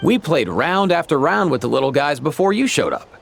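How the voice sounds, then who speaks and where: A man's voice: a little high, very animated, a little fast, vocal fry, exaggerated voice. An actor playing an easygoing, good-natured young man in a video game, slangy and readily exasperated. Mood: weary, exasperated. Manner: slangy, sighing and exclaiming. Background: faint game background music.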